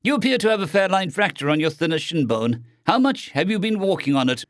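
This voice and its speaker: A man's voice: high voice, big pitch swings, a little fast, no room sound, clean studio sound, voice pushed up. A man voicing a concerned, earnest alien rescue medic in a sci-fi story, solicitous and questioning. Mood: insistent concern.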